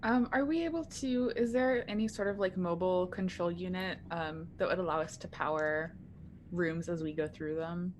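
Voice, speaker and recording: Lowish-voiced woman, tentative, over background noise. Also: normal speed, a little mellow. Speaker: tabletop roleplaying player. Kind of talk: casual game chat.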